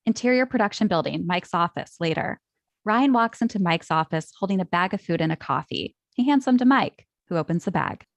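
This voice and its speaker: A woman's voice: on the low side, expressive, brisk, dry recording. Dry-sounding woman reading stage directions. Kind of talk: scripted narration.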